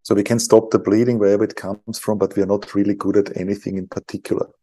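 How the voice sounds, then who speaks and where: A middle-aged man from Europe speaks English with a non-native accent. His voice is low, gravelly and warm, and he sounds dry and close to the mic. He talks casually, with wry self-deprecating asides. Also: level tone, fast talker, dry recording. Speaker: guest surgeon.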